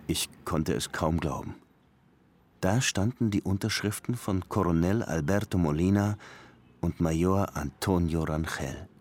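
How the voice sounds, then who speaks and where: A man's voice: low voice, measured pace, a little mellow, a little hiss or hum. An actor playing a weary police lieutenant in his thirties who longs to write, terse and reflective, in a radio crime drama. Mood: disbelieving. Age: 30s. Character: weary 36-year-old Cuban police lieutenant longing to write. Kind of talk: German radio drama acting with first-person narration.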